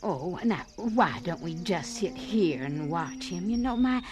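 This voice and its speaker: A woman's voice: lowish voice, animated, unhurried. An actress playing a curious, polite woman neighbor who keeps asking questions, in a radio drama. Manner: inquisitive, exclaiming. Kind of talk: 1940s radio drama acting.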